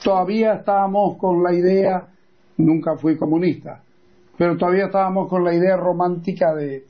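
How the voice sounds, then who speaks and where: A man's voice: high-pitched, fairly even intonation, moderate pace, muffled recording. An older man talking casually as an interviewer, often repeating phrases as he goes. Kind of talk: casual conversation.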